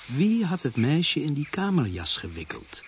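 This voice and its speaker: An actor narrating a mystery radio play as a serious storyteller, in a measured, scripted way. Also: male voice, on the high side, wildly swooping pitch. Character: measured male first-person narrator of a murder mystery. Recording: faintly noisy radio play soundtrack.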